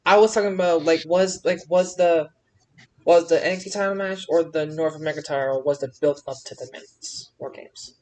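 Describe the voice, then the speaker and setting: Stammering, excitable male podcaster. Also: on the high side, fairly quick, rough recording. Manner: excitable, stammering, repeats phrases. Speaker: podcast co-host. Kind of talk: casual wrestling discussion.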